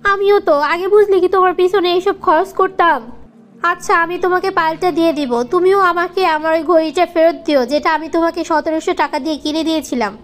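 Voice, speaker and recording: A woman's voice: very high voice, fairly even intonation, quick pace. A woman with a Bangladeshi accent voicing a reproachful, sulky young girlfriend in a cartoon comedy. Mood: petty, insistent. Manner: reproachful, nagging.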